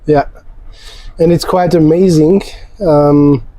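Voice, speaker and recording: A man interviewing a guest, asking questions in a deliberate, unhurried way. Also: fairly high pitch, fairly even intonation, warm tone, rough recording. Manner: deliberate, unhurried, fond of farming imagery.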